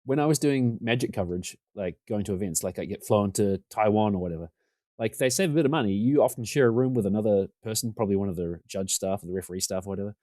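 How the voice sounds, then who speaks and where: Talkative New man, low and mellow. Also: quick pace, dry recording, clean studio sound. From New Zealand. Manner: talkative, rambling, self-deprecating. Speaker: podcast guest. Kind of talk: casual conversation. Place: home room.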